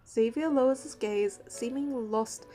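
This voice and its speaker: A woman's voice: on the high side, unhurried, mellow, natural voice. An actress playing an earnest, determined young woman hunter in a scripted game, voicing her dialogue and first-person narration.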